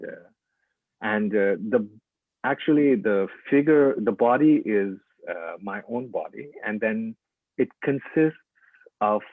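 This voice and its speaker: Low, gravelly man on a tinny line. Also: no echo. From Nepal. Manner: halting, full of "uh" and "like" fillers. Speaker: artist presenting his work. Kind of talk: informal lecture with slides. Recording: tinny, dry phone-like audio.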